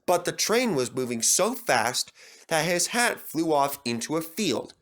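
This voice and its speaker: Man, children's story narrator, scripted reading, unhurried, expressive intonation, medium pitch.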